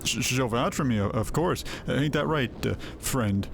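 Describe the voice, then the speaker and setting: A man's voice: mid-range voice, normal speed, gravelly, close to an everyday voice. A man voicing a cowardly, boastful mercenary axeman in a fantasy game, with a stammering, pleading manner. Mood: flustered. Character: cowardly boastful hard-drinking axeman, a bumbling male mercenary. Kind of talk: voiced fantasy game dialogue. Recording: music under the voice.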